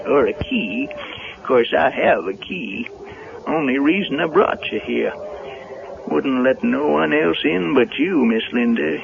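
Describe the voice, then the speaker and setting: Folksy old New island caretaker, deferential but evasive, slow halting drawl; muffled, noisy old radio drama. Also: male voice, mid-range voice, animated, gravelly, full character voice. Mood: gruff, halting. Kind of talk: vintage radio drama acting.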